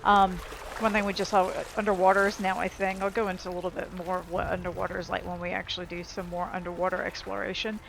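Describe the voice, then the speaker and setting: A woman's voice: lowish voice, level tone, fast, creaky. A woman giving casual, informal and rambling gameplay commentary as she explains how a game works. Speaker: game streamer. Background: game music and sound effects.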